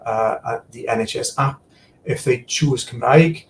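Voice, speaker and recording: Here is a man on a video call whose voice has a gravelly, creaky edge and sits at an ordinary middle pitch. He walks through a screen demo in easygoing chat, stumbling over fillers and repeated words. A faint hiss sits under him. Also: unhurried. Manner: frequent fillers and repeated words while explaining. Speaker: guest, digital services supplier and former practice manager.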